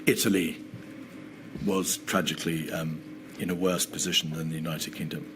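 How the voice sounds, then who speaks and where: A British man speaking as a barrister in formal, measured, assertive legal questioning, on a noisy hearing-room recording. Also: a bass voice, flat delivery, fairly bright tone. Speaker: inquiry barrister.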